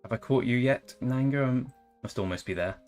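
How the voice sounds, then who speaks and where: A man's voice: medium pitch, fairly quick, a little mellow, soft background music. A young man chatting casually while live-streaming a strategy game, rambling and joking. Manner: jokey, rambling, tries silly accents. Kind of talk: casual live-stream chat over gameplay.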